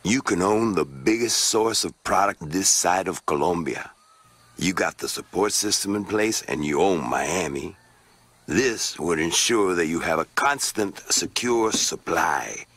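A man voicing a calm Latin American drug grower in a crime game, speaking in a measured, persuasive way. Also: deep voice, no room sound, exaggerated voice. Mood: earnest business. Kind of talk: video game cutscene acting.

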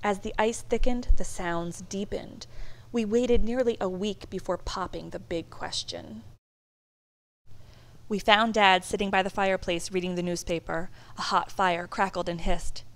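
Expressive woman reading a children's book aloud. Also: mid-range voice, unhurried, low-quality recording. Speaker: storybook reader.

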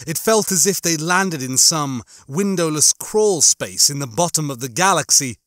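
A man narrating a horror science fiction audiobook in a measured, expressive, suspenseful way. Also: on the high side, dry recording, studio quality. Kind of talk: dramatic scripted narration of a horror sci-fi novel.

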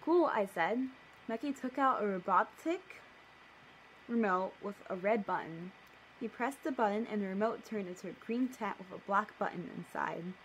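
A woman's voice: medium pitch, expressive, moderate pace, a little hiss or hum, poor audio. A young woman casually reading her own story aloud on camera, breaking off for playful, mock-indignant asides. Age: young adult. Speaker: video creator. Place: living room at home, indoors.

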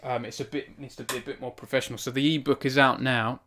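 A man in his thirties speaks close to a studio microphone in a small room at home. His voice sits at a middle pitch. He reads steadily, then chats earnestly and a little self-consciously with his viewers, restarting his words often. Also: steady tone, fast talker, bright, forceful tone, slight background noise. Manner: earnest and self-conscious, with frequent restarts and fillers when chatting. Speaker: author hosting a book launch stream. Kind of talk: reading aloud from his novel, then chatting with live viewers. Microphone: studio microphone on a stand in front of him.

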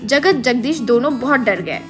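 A woman narrates a story in a high voice. She reads from a script as a storyteller. Soft music plays underneath. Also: animated, normal speed. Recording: music behind the voice.

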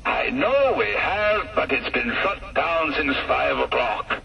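Clipped, officious RAF officers speaking over a crackly telephone line, as a male storyteller puts them on. The voices sit high and swing up and down, sounding distant and muffled. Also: big pitch swings, takes it slowly, vocal fry, exaggerated voice. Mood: curt.

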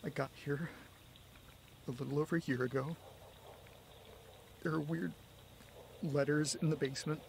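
Man playing anxious confused young guy on a call, stammers, highish voice, noise behind him. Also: fairly even intonation, gravelly. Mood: confused, distressed. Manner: halting, stammering, flustered. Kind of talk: horror audio drama acting, one side of a phone call.

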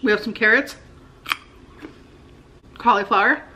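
A woman's voice: on the high side, measured pace, sharp tone, a little hiss or hum. A young adult American woman vlogging in a casual, slangy way, talking to camera at home. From United States. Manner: informal, slangy, frequent "like". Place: indoor living room at home.

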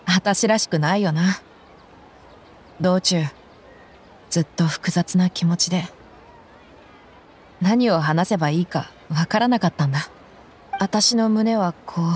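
A woman playing a tough, soft-hearted young mercenary in a game, with blunt, reflective speech. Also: mid-range voice, a little hiss or hum, natural voice. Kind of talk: Japanese game voice acting.

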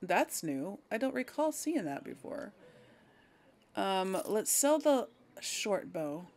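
Female gaming host, unhurried delivery, mid-range voice, hesitant and musing, casual commentary. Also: medium pitch, very animated, darkish tone. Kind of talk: casual game commentary, thinking aloud.

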